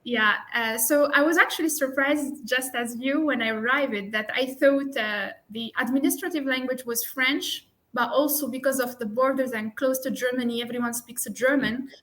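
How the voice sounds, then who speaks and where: A woman's voice: high-pitched, normal speed, few pauses, a bit bright. A woman from Tunisia, a native Arabic speaker, chatting as an interview guest, talking freely with restarts and small laughs. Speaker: guest entrepreneur. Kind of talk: casual interview conversation.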